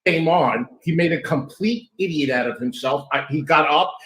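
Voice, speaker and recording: A woman on an online panel who talks loudly and passionately. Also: sits low, normal speed. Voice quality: loud, boisterous. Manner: passionate and emphatic. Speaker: panel guest. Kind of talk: heated livestream panel chat. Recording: echoey room sound.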